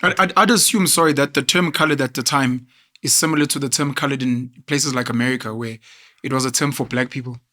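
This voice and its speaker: A man from South Africa hosts a sit-down interview. He asks careful, probing questions at a measured pace. His voice sits at a middle pitch. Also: fairly even intonation, pushed and bright. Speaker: interviewer host. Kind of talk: interview conversation.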